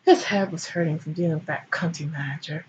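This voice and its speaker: A woman's voice: sits low, brisk, dull audio, slight background noise. A woman giving a comic dramatic reading of fan fiction as host, in a theatrical, irreverent manner.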